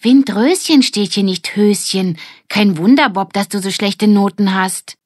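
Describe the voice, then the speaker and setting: Female reader as defiant gang girl for children's story: high, emphatic, bossy. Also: a little slow, no room sound, studio quality, close to an everyday voice. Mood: mocking. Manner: emphatic, exclaiming.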